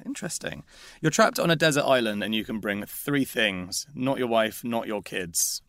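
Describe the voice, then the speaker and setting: A man interviewing a guest with rapid questions and light teasing. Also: mid-range voice, lively intonation, fairly bright tone, no echo. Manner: brisk, teasing. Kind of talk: quick-fire interview questions.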